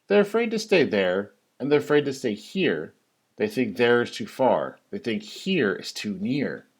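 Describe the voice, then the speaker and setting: Animated, unhurried man reading a children's story. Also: mid-range voice, slightly rough. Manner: theatrical, singsong rhyming delivery with drawn-out emphasis. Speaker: teacher reading a story aloud. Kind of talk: expressive children's picture-book read-aloud.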